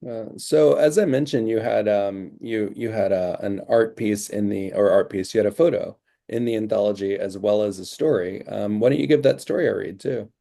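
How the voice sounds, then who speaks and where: A man's voice: fairly low pitch, normal speed, vocal fry, hardly any pauses, dark tone. A young man hosting an interview, asking questions hesitantly with many fillers. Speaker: interviewer, host.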